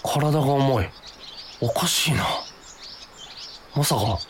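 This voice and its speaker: A man's voice: medium pitch, slow pace, noisy background, natural voice. Man playing an earnest would-be hero office worker. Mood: groggy, puzzled. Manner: dramatic inner monologue, earnest. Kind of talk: radio drama acting and first-person narration.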